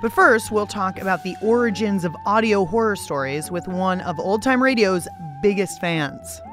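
A woman's voice: medium pitch, expressive, normal speed, soft background music. A woman hosting a radio show, reading chatty scripted narration with a playful, cheeky manner. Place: radio studio.

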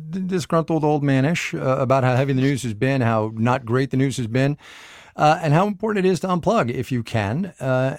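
A man's voice: fairly high pitch, no room sound, clean studio sound. A man co-hosting a podcast, jokey and self-mocking, introducing listener messages. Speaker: podcast co-host. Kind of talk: casual chat and credits.

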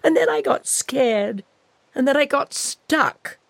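A woman voicing a small, shy winged monster with a small, soft voice, in a storybook reading. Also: high-pitched, lively intonation, slow, vocal fry, dry recording, exaggerated voice. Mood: timid.

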